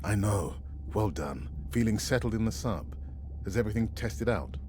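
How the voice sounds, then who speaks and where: A man's voice: fairly low pitch, expressive, moderate pace, noisy background, natural voice. A man playing a dry, cautious and caring male science colleague in a naturalistic video game performance. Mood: supportive.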